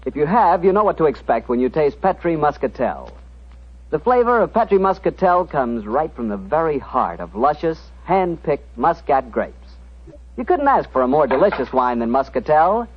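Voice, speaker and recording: Old time american radio announcer guy, animated delivery, husky, muffled 1940s sound. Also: a little high, moderate pace. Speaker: announcer and host. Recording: dull 1940s radio recording.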